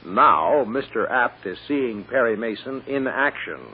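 A man with a husky voice, narrating an old radio serial as its announcer in a dramatic, scripted style. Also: medium pitch, lively intonation, unhurried. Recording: muffled old radio recording.

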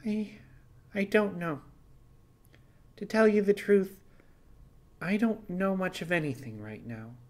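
A man plays a weary female animal fugitive in a sci-fi audiobook. Her voice is lifted high, and she speaks haltingly, overwhelmed and unsure. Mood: dazed, hesitant. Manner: hesitant, halting.

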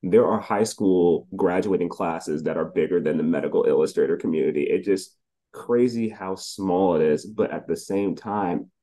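Deep, mellow man's voice. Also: normal speed. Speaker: journalist interviewee. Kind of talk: conversational interview talk. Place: plain room, video call.

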